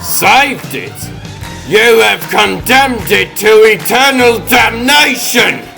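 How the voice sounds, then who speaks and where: Villain sorcerer, male: imperious and menacing, very drawn-out pompous lines, music clearly underneath. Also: sits high, lively intonation, bright, forceful tone, exaggerated voice. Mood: furious. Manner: pompous, grandiose, drawn-out commanding delivery. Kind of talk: dramatic comic dub acting. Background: dramatic music.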